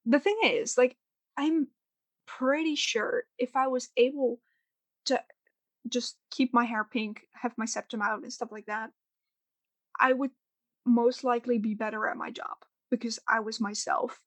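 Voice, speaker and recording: A young woman chatting on a podcast, her voice a bit high and close to the mic. She rambles and restarts often. The sound is dry, with no room around it. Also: fairly even intonation, a little slow, no echo. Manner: rambling, many fillers and restarts. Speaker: podcast co-host. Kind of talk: casual podcast chat.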